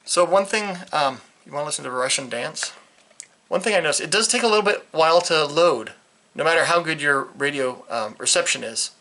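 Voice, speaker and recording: An American man chats through an unscripted product review. His voice sits a little above average in pitch and has a sharp, bright edge. He wanders into joking tangents as he goes. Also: expressive, brisk. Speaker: vlogger reviewing a radio. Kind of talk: casual unscripted product review, rambling chat. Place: indoors in a concrete-walled bunker.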